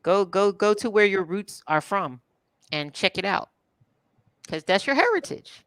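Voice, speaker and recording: Smooth-voiced chatty woman. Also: medium pitch, dry recording. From Philadelphia. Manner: relaxed, chatty, drawn-out fillers. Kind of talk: casual chat.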